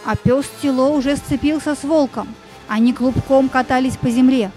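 Female narrator for a children's tale: high, soft-toned, suspenseful, with faint music underneath. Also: moderate pace. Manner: expressive, suspenseful. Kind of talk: dramatic scripted storytelling.

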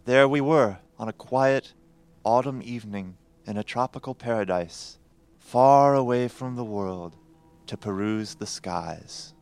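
An actor playing a grave, formal professor who narrates a horror audio drama in a measured, literary way. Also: male voice, medium pitch, darkish tone, clear, resonant tone, natural voice. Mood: grave, recounting.

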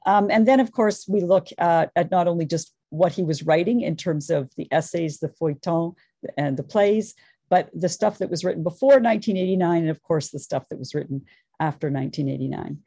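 A woman's voice: lowish voice, fairly quick, mellow, husky, dry recording, clean studio sound. A woman scholar giving a conference presentation, earnest and enthusiastic, thinking aloud as she goes. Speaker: academic panelist.

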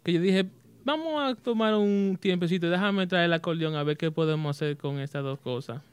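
Soft-spoken young man. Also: sits high, moderate pace, clear, resonant tone. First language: English. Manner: hesitant, restarts words, mixes in English. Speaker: musician guest. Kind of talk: casual radio interview. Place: radio studio.

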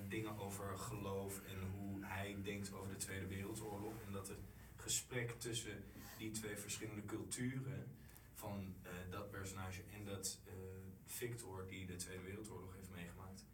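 A young man in a casual group discussion, searching haltingly for words, recorded from a distance in an echoey, noisy room. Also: low voice, steady tone. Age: young adult. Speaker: drama student discussing a play. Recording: echoey room, distant mic, loud noise.

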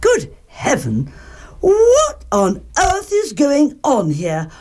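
A woman's voice: sits high, huge pitch swings, drawn-out words, darkish tone, voice pushed up, heavily acted. A woman voicing a genteel, motherly female bear in a children's storybook, prim, fluttery and fussy. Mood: flustered.

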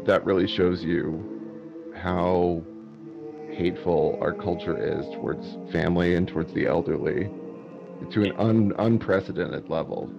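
Male, low and gravelly, halting delivery, muffled with music. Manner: halting, hesitant, many pauses and fillers. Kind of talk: casual conversation. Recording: muffled recording with clear music behind.

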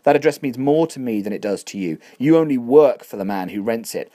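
A smug, wealthy landlord, cold and cutting, his low voice rising sharply whenever he is challenged, as a male narrator puts him on. He sounds husky and self-assured, a storybook villain talking down to the people across the table. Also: expressive, brisk, a little mellow. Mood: indignant.

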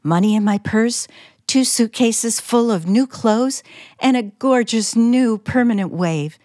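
A woman playing a shy, naive, romantic forty-year-old spinster in a radio play, excitable and easily flustered. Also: a little high, a little slow, no echo, studio quality, lightly acted. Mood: dreamy, excited. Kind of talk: radio play acting, diary narration and dialogue.

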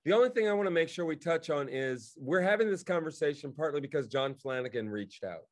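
A man's voice: fairly high pitch, expressive, fairly quick. Effusive man co-hosting a chat. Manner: effusive, exclamatory. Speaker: podcast co-host, stage actor. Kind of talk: casual interview chat.

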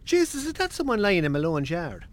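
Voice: mid-range voice, wildly swooping pitch, a little fast. An actor playing a local passer-by in a naturalistic radio drama. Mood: relaxed.

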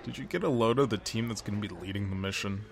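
An actor playing a crude, hot-headed soldier in an audio drama, blunt and sneering. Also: male voice, lowish voice, fast talker, gravelly. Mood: scornful.

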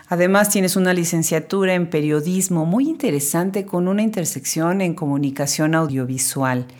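A woman's voice: sits low, a little mellow. A woman hosting a podcast interview, reading a formal introduction and then chatting in a friendly way. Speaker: podcast host.

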